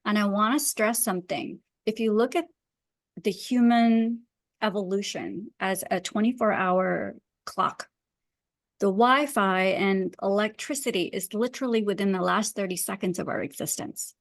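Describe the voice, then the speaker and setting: A woman's voice: medium pitch, normal speed, no room sound. Earnest woman explaining things, close dry sound. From Pakistan. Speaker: guest wellness and design expert. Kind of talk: conversational explanation in an interview.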